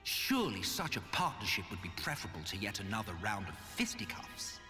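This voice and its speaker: An actor playing a condescending, weary immortal villain in a video game, with pompous, sardonic, drawling delivery. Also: male voice, on the low side, big pitch swings, brisk, sharp tone, full character voice. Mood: disdainful, weary. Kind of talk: dramatic video game voice acting. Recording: long hall reverb, music behind.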